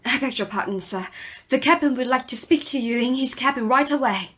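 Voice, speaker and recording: A woman's voice: high voice, fairly quick, fairly bright tone, breathy edge, dull audio. A woman in an audio play acting a deferential, nervous male ship's steward with a formal, hesitant manner. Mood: anxious, grave.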